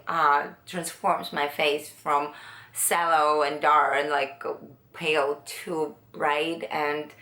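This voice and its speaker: Slow, hesitant woman vlogger. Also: low-pitched, fairly bright tone, reverberant. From Latvia. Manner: hesitant, searching for words, many fillers. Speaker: beauty vlogger. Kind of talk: makeup tutorial talk.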